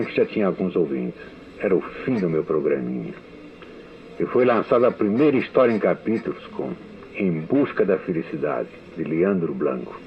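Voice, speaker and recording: An older man from Brazil with a Brazilian Portuguese accent, reading his prepared memoir as a recorded testimony, measured and deliberate. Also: lowish voice. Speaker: writer giving a recorded testimony. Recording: tinny phone-like archive radio recording.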